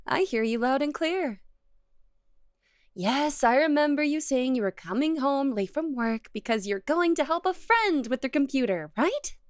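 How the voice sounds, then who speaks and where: A woman's voice: high voice, animated, measured pace, dry recording, clean studio sound. A woman playing a jealous, possessive young cat girl girlfriend in an ASMR roleplay, speaking to the listener in a coy, accusing yet affectionate way. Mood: suspicious, probing.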